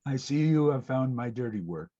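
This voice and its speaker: Menacing drama villain, played by a man: husky, measured and cold, on slightly muffled audio. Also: mid-range voice, lively intonation, mellow, lightly acted. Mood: cold menace. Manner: measured, threatening. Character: menacing male villain giving a cold ultimatum. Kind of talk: scripted table-read acting. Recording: dull lo-fi audio.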